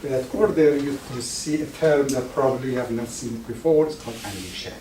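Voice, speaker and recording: A man whose first language is Farsi gives an informal lecture to an audience, speaking haltingly and often restarting words. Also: mid-range voice, background noise. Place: lecture room with live audience. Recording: echoey room, mic far off.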